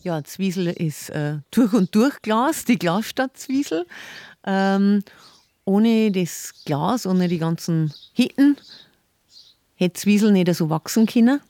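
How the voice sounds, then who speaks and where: A woman's voice: medium pitch, animated, takes it slowly, no room sound. Lady chatting on a podcast, laughs a lot, repeats herself, dry close mic. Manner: warm, chatty, laughs often, repeats words. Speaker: city tour guide and guest. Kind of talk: casual podcast interview.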